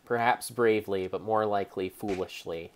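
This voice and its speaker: A young man giving a theatrical, mock-serious dramatic narration over gameplay, speaking deliberately like a storyteller. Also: on the low side, fairly even intonation, slight background noise. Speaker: game streamer.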